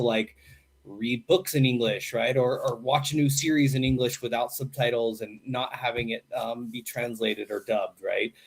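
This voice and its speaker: A middle-aged American man speaking casually in an interview. His voice sits at an ordinary pitch, and he talks in a loose, conversational way. He keeps tagging his points with a quick "right?". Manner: relaxed, chatty, frequent "right?" tags. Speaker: English teacher at a university program.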